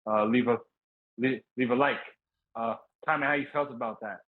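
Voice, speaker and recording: Brief: excitable male co-host, slangy ramble, mid-pitched, slightly dull lo-fi audio. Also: moderate pace. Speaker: podcast co-host. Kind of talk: casual podcast chat.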